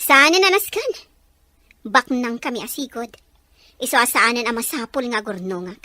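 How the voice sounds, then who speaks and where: A woman's voice: a little high, animated, measured pace, sharp tone. A woman acting a headstrong mother with failing sight in an Ilocano radio drama, in a dramatic manner. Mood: defiant, haughty.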